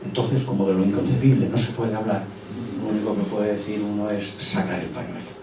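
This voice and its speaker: A man's voice: on the low side, fairly even intonation, brisk. A man giving a conference talk from slides, speaking discursively, often tagging phrases with "eh?" and "okay?", and addressing the audience directly. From Barcelona, Spain. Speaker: conference speaker presenting a therapy method. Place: conference hall. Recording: echoey hall, distant mic, faint hum.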